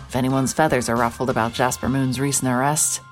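Very low-voiced, hard-hearted female private eye. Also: fairly even intonation, fairly quick, faint music behind. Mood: wry, determined. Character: hard-hearted female private investigator, brooding noir narrator. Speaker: narrator and lead character. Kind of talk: first-person noir scripted narration.

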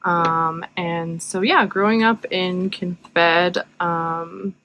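A woman's voice: fairly low pitch, fairly even intonation, extremely slow, a bit bright, a little hiss or hum, rough recording. A woman with a Canadian accent telling personal stories casually, hesitating often as she goes.

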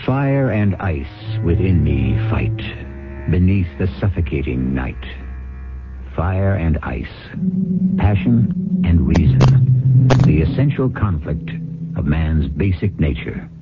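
Deep male radio host, lively intonation, philosophical, deliberate dramatic emphasis, music behind, narrow vintage broadcast sound. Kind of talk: 1970s radio mystery narration. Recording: phone-like old radio broadcast recording.

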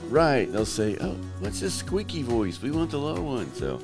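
A man hosting a podcast in casual, joking chat, his voice lowered by allergies. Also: wildly swooping pitch, normal speed, loud music behind the voice. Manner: joking, teasing. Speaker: podcast host. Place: South Carolina.

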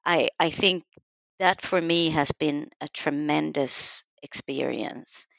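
A woman's voice: deep voice, slow pace, gravelly, a bit bright, no room sound. A woman in her forties, answering interview questions thoughtfully with many fillers over a dull lo-fi line. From Sweden. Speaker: guest, program manager.

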